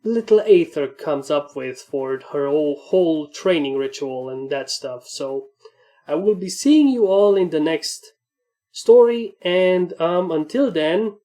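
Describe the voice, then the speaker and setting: A woman's voice: deep voice, animated, a little slow, warm tone. A woman narrating fan fiction as a reader and host. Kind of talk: story narration. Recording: music under the voice. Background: background music.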